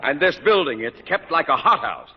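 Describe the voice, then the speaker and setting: An actor playing a pompous, irascible middle-aged school principal who scolds in formal, blustering speeches in a vintage radio sitcom. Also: male voice, big pitch swings, moderate pace. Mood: pompous, indignant. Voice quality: blustering, booming delivery. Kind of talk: 1950s radio sitcom acting. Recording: muffled old radio recording, noisy, reverberant.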